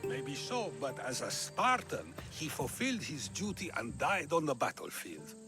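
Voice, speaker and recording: An actor playing a thoughtful male philosopher in a video game cutscene, speaking in a measured way. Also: mid-range voice, huge pitch swings, sharp tone, faint music behind, heavily acted. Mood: calm. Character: older male philosopher, wise and questioning. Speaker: game character.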